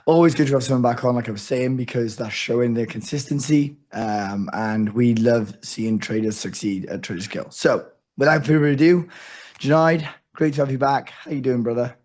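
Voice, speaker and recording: Hoarse male host, casual and rambling, restarts often. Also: mid-range voice, fairly even intonation, moderate pace, a little mellow. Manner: chatty, rambling, full of restarts. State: losing his voice. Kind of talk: casual interview.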